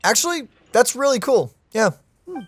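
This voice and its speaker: A woman's voice: fairly high pitch, very animated, normal speed, breathy edge, no echo. A woman giving casual live reaction commentary as she streams a game.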